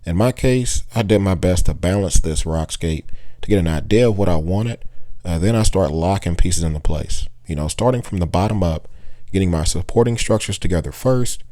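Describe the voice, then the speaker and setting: Deep, dark-voiced casual man. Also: moderate pace, no room sound, studio quality. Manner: relaxed, chatty, gives tips with small laughs. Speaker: aquarium hobbyist vlogger. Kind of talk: casual how-to voice-over.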